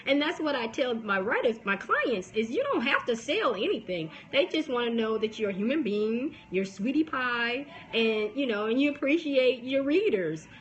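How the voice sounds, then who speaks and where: A woman in her forties with a light, high-leaning baby voice coaches writers in a loose, animated live-stream chat. She keeps restarting sentences and laughing along as she talks straight into her phone. A faint hiss sits under the recording. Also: moderate pace, hardly any pauses, rough recording. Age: 40s. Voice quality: youthful baby voice. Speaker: book promotion coach and podcaster.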